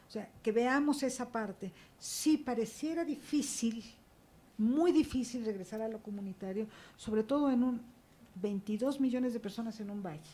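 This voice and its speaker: A woman's voice: on the high side, normal speed, mellow. A woman panelist speaking emphatically, checking in with rhetorical questions. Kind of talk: academic panel talk. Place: university auditorium panel.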